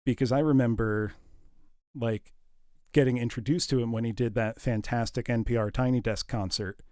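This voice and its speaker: A man's voice: mid-range voice, fairly even intonation, normal speed, darkish tone, no room sound, studio quality. A man hosting a livestream, chatting informally and enthusiastically while musing aloud. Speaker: livestream host. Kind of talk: casual livestream chat.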